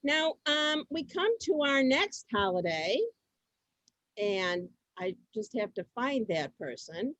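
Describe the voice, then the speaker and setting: A woman's voice: fairly high pitch, sing-song, takes it slowly, a bit bright, no echo. A woman hosting an amateur comic readers' theater, moving between scripted lines and ad-libs. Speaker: host of a comic contest.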